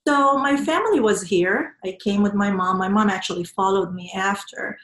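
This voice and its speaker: Woman, Venezuelan accent, native Spanish speaker, earnest and reflective, mid-range pitch, conversational interview answers, roomy echo. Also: normal speed, a bit bright. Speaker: interview guest, nonprofit professional. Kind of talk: conversational interview, personal storytelling.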